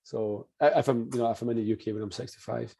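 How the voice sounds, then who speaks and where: A man's voice: mid-range voice, steady tone, quick pace, gravelly, dark tone. A man in his forties, a language school manager chatting as a guest in an interview, joking and often repeating his words. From Scotland. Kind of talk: casual interview chat.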